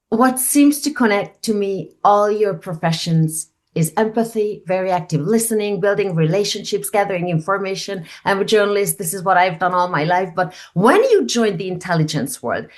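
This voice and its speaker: Woman interviewing in English. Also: medium pitch. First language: Hungarian. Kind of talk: video interview.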